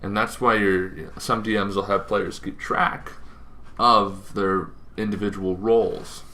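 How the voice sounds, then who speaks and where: A man's voice: on the low side, little pitch movement, slow pace, sharp tone. A man hosting an informal explainer, talking in a rambling way with asides and self-corrections. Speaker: host of a tabletop gaming show.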